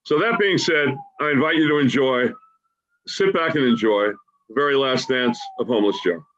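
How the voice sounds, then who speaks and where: A man's voice: mid-range voice, normal speed, fairly bright tone, a little hiss or hum. A man giving an informal, lightly joking welcome as host of a play reading over a lo-fi video call. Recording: dull, lo-fi Zoom call audio.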